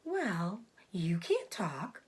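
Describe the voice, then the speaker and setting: A woman voicing a proud, clever female spider in a storybook, with a thin, breathy voice and a genteel, self-assured, explanatory manner. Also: lowish voice, wildly swooping pitch, extremely slow, exaggerated voice. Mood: matter-of-fact, defensive. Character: clever, proud, matter-of-fact female spider, bloodthirsty trapper.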